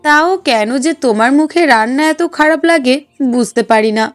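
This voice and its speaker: A woman plays a meek young daughter-in-law in a cartoon, with a high voice. She speaks politely and placatingly, with music in the background. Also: moderate pace, close to an everyday voice. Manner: mild, placating. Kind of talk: Bengali cartoon dialogue.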